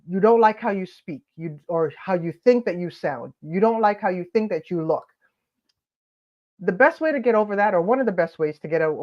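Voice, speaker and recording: Lady doing a live coaching stream, nasal stuffy voice, lively and animated, kinda dull lo-fi sound. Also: medium pitch. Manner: energetic, animated, greets viewers by name. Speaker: host of a daily live stream on confidence. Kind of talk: upbeat coaching talk to a live audience.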